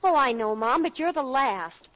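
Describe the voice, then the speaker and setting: An actress playing an eager, sassy college-age daughter in a 1940s radio comedy, perky, gushing and slangy. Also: female voice, sits high, lively intonation, normal speed, slight background noise. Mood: frank, sassy. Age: young adult. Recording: dull vintage 1940s radio broadcast.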